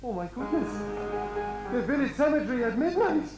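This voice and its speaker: A man's voice: extremely high pitch, very animated, moderate pace, over music, exaggerated voice. A man playing a cowardly, nervous royal servant in an amateur stage pantomime, stuttering, exclaiming and repeating himself. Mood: shaken, bewildered. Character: jittery, cowardly, food-loving royal servant called Windbag. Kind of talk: broad amateur pantomime acting. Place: hall stage. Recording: echoey stage recording.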